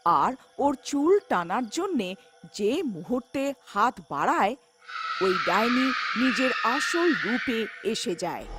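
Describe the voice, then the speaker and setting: A woman's voice: a little high, very animated, unhurried, loud music behind the voice. A woman narrating a scripted children's horror folktale as the storyteller.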